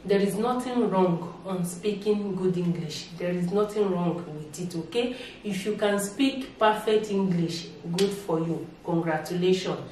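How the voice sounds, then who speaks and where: A woman's voice: lowish voice, measured pace. Nigerian woman vlogging in an echoey room. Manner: animated, repeats words, mixes in Nigerian Pidgin. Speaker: YouTuber. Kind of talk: casual opinion vlog to camera. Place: indoors, living room with a sofa. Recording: echoey room, distant mic, faint background hiss.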